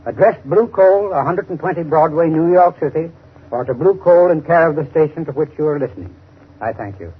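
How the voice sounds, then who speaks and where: A man with a rough voice reading a formal scripted sponsor message on 1930s radio. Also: fairly high pitch, expressive, a little fast. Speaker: sponsor's spokesman. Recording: tinny phone-like 1930s radio recording.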